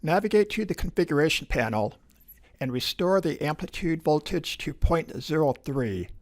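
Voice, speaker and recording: A man reads scripted instructional narration in a measured, step-by-step way, like a lab instructor. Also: fairly high pitch, lively intonation.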